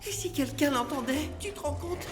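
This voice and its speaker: Une actrice qui joue un petit compagnon de dessin animé, anxieux et curieux, au ton interrogatif. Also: female voice, high-pitched, animated, normal speed. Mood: anxious, curious. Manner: worried, questioning. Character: small, anxious, curious cartoon sidekick. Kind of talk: animated film dub acting.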